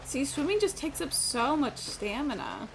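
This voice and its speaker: A woman livestreaming a game, with a fairly high, very animated voice. She thinks aloud in casual, sweary commentary while music plays clearly behind her. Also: moderate pace, low-quality recording. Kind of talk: casual live gameplay commentary.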